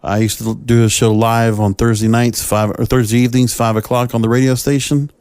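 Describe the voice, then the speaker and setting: A male podcast host delivers solo commentary in a mid-pitched voice. He speaks in short, halting phrases, and the sound is dry with very little room around it. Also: little pitch movement, a little mellow, clear, resonant tone, dry recording.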